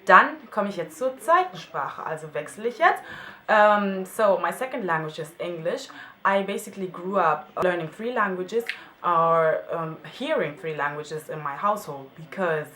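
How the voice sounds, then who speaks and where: A woman's voice: on the low side, expressive, moderate pace, a bit bright. A young woman from Germany casually talking about her languages, thinking aloud with many fillers. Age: young adult. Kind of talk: casual multilingual self-introduction. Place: her home in France.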